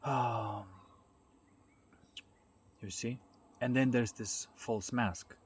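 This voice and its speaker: A man giving an informal, unscripted talk as a spiritual self-development speaker, halting as he searches for words and often checking in with the listener. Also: mid-range voice, steady tone, husky. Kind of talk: informal unscripted explanatory monologue. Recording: noticeable background noise.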